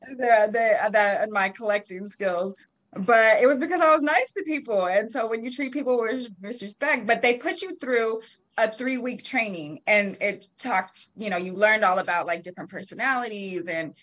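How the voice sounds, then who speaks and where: A woman's voice: a little high, normal speed, fairly bright tone, rough recording. A woman in her thirties talking casually as an interview guest, chatty with frequent laughs and fillers. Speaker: guest, marketing and PR company founder.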